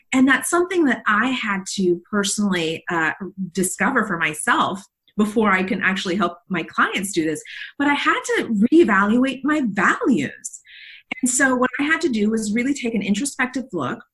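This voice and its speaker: A woman's voice: mid-range voice, fairly bright tone. A woman in her forties from the South Texas Mexican border, Spanish her first language, a leadership coach answering interview questions in a rambling way full of fillers and restarts.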